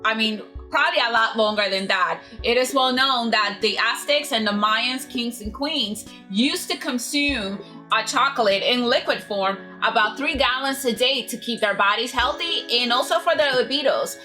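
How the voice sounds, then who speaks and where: A young woman from Ecuador chats casually, laughing often as she shares facts. Her voice is bright and slightly high, with faint music playing behind her. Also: moderate pace. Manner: chatty, laughs often, tells fun facts. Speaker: co-host. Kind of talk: casual chat.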